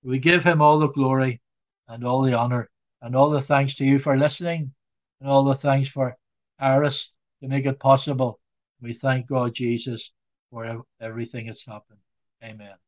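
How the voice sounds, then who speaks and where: A man preaching a radio sermon, earnest and measured, with slow emphatic phrases. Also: medium pitch, little pitch movement, highs cut off.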